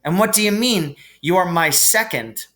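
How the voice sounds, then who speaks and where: A man's voice: sits high, moderate pace, pushed and bright, pitched above the performer's own voice. A man voicing a plucky young schoolboy hero, earnest and pointed, in a storybook reading. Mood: puzzled.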